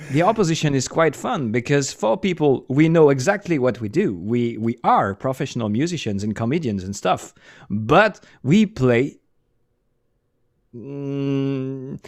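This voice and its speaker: A middle-aged man with a French accent speaks slowly in a relaxed interview, often trailing off and restarting while he hunts for the right English word. His voice sits at an ordinary pitch and sounds dry and close, with no room around it. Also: no room sound. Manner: halting, many restarts and fillers, searching for English words. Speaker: musician guest. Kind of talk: casual interview chat.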